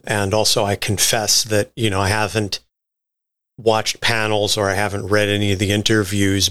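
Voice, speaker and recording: A man talks as a guest on a casual podcast panel. His voice is bright and forceful, with a lowish-to-middle pitch and a very even, level melody.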